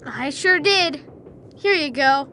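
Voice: sits high, lively intonation, slow, over music. A performer voicing a brave, earnest boy in a video game, with a determined manner. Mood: confident. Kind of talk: video game character acting. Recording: train noise behind.

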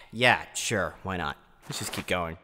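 A man's voice: deep voice, slow, pushed and bright, slight background noise, full character voice. A man voicing a small, impatient, sarcastic fire-lizard monster in a cartoon parody, blunt and exasperated. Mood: dejected. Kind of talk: animated cartoon parody acting. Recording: long reverb, music underneath.

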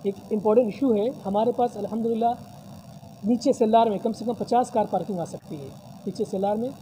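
A man speaks at a press table in a decorated hall, his voice very dark and mellow. He talks formally and promotionally, dropping religious phrases often. A faint background hiss sits under the voice. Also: sits high, fast talker. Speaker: convention hall representative. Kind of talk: press conference answers. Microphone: many news mics on a table.

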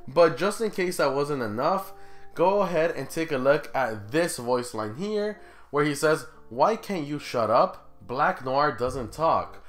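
A man's voice: on the high side, lively intonation, measured pace. A man in his twenties giving casual gaming commentary to viewers as a video host, informal and conversational, often throwing questions at them. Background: music.

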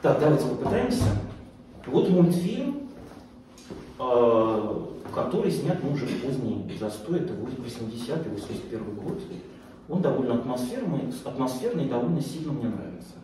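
A man in middle age lectures off the cuff in a soft, dark tone, often pausing to find his words. The sound is very roomy and distant, with faint noise behind him. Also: medium pitch, very animated. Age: middle-aged. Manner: hesitant, halting, searching for words. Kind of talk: informal public lecture, talking off the cuff. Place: small indoor lecture room, far camera. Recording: echoey room, distant mic, faint noise.

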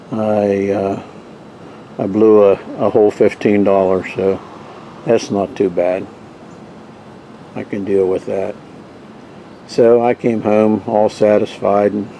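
Guy with a real low mellow voice, rambling on easy about his yard-sale camping haul, lots of uh's. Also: slight background noise, poor audio. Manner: rambling, relaxed storytelling with frequent uh's and restarts. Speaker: vintage camping gear collector showing finds. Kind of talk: casual show-and-tell narration.

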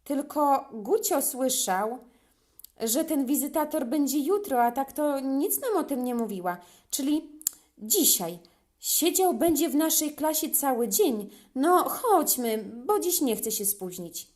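An eager young schoolboy from a storybook, voiced by a woman reader. He is chatty and earnest, explaining things matter-of-factly. The pitch is high and swings about in an animated way. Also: measured pace.

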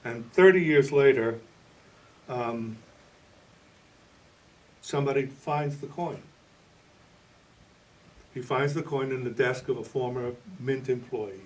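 A man's voice: mid-range voice, slow, pauses often. Older man interviewed, slightly distant mic. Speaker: novelist and playwright being interviewed. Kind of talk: interview answers.

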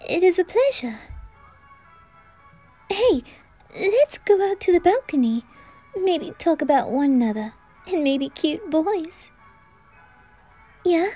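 A woman's voice: extremely high pitch, sing-song, slow pace, long pauses, warm tone, faint music behind, full character voice. A woman voicing a boy disguised as a bubbly, sweet girl, chirpy and giggly. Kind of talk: ASMR roleplay fan audio drama.

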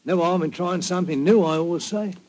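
An actor playing a sly, deferential country servant with a gravelly voice in a 1940s radio drama. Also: male voice, high voice, brisk, a little mellow, close to an everyday voice. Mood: sheepish. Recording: old radio recording, faint noise, clicks.

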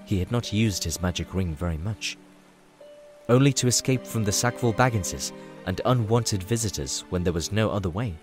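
Lowish-voiced male fantasy story narrator. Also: lively intonation, moderate pace, over music.

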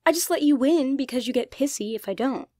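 Woman acting casual, expressive young friend, close dry sound. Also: high voice, fairly quick, no echo. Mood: playful, teasing. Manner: teasing, casual, expressive, apologetic. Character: playful, bantering, anxious young genderfluid best friend. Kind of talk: ASMR roleplay, one-sided conversational acting.

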